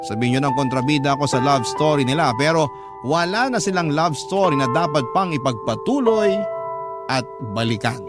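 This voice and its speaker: Guy reading a drama letter, fairly high voice, heavy music bed underneath. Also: moderate pace. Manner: expressive, indignant. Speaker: narrator reading a letter to the host. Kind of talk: dramatized storytelling narration.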